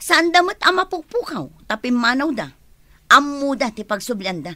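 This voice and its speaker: Woman doing a grumbly caretaker, radio drama, bright lively voice, dry mic. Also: sits high, moderate pace, no room sound, heavily acted. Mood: fretful. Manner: grumbling, fretful. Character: grumbling caretaker woman, worried about blame.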